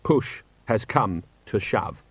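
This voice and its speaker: A man's voice: lowish voice, expressive, takes it slowly, breathy edge, dry recording, exaggerated voice. A man voicing a weary, indignant commander with a conscience, blunt and plain-spoken, in an audiobook story. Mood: resolute.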